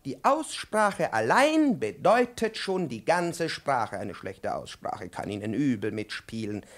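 An actor playing a pompous, excitable, domineering professor lecturing a pupil in a 1950s radio play, pedantic and declamatory. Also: male voice, medium pitch, measured pace. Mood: insistent lecturing. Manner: pedantic, declamatory, swinging from hushed to shouting.

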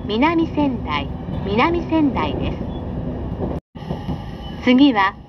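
Lively higher-pitched woman announcer, noisy train. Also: extremely slow. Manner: polite, formal, carefully enunciated. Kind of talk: scripted recorded announcement. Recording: dull lo-fi audio with train noise. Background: train running noise.

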